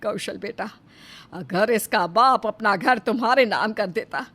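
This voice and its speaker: A woman's voice: mid-range voice, a little slow, a bit bright. A woman playing a spiteful mother-in-law in a dramatised moral story, scolding and laying blame in an accusing manner. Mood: bitter, accusing.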